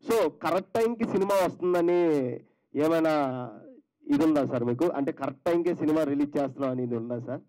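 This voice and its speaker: Male reporter, fast speech, slightly high soft mellow voice, hesitant phrasing, press questioning. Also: poor audio. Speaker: media reporter asking questions.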